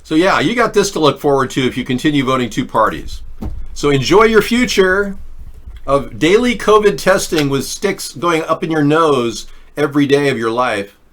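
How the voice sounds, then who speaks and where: A man's voice: fairly high pitch, expressive, moderate pace, fairly bright tone. Sarcastic ranting male host. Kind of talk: political commentary livestream.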